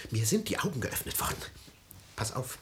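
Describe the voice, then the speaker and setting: A man's voice: medium pitch, very animated, normal speed, slight background noise, lightly acted. An actor playing an anguished, suspicious father in a radio drama, emotional and declamatory, moving between muttering and outcry. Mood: suspicious, despairing. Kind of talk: theatrical radio drama acting.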